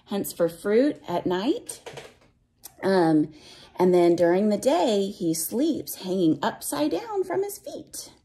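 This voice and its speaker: A woman's voice: mid-range voice, sing-song, takes it slowly, warm tone, a little hiss or hum. A woman reading a picture book aloud to young children, with sing-song verse and simple explanations and questions. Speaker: read-aloud storyteller for children.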